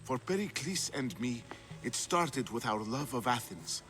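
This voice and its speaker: Game role: nervous artist in hiding, earnest, bright tone swinging widely, faint music underneath. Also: male voice, medium pitch, expressive, unhurried. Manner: agitated, earnest. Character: anxious, fearful male artist on the run. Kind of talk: video game cutscene acting.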